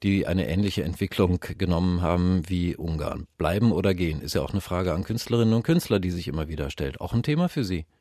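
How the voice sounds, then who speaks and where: A male radio culture host asking measured, formal interview questions with brief acknowledgments. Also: sits low, lively intonation, warm tone, dry recording, studio quality.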